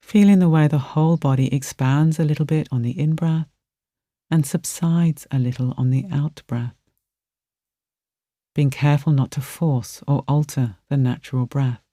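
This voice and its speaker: A meditation guide narrating a relaxation exercise in a gentle, soothing manner with soft, spacious phrasing. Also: medium pitch, steady tone, moderate pace, dry recording, clean studio sound.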